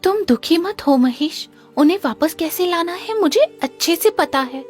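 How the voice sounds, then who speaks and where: Woman playing a very high-voiced, confident village woman. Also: expressive, unhurried. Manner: assured, assertive. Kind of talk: Hindi animated moral story dialogue. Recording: music under the voice.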